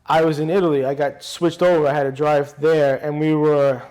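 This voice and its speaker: A man, chatting as a podcast guest. His voice sits a bit high for a man, and he tells his stories bluntly and loosely, often restarting his sentences. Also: flat delivery, brisk, darkish tone. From Brooklyn, New York. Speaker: podcast guest, retired police officer. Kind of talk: casual interview conversation.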